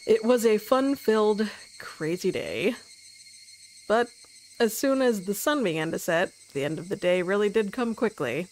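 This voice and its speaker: A woman streamer narrating a visual novel live, with expressive storytelling. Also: medium pitch, a little slow, slight background noise, rough recording. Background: soft game music.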